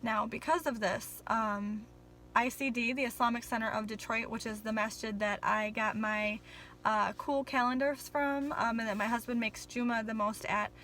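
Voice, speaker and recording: A woman's voice: on the high side, steady tone, moderate pace, fairly bright tone, a little hiss or hum, low-quality recording. A young woman vlogging in a casual, rambling way, full of ums and apologies, a little nervous on camera.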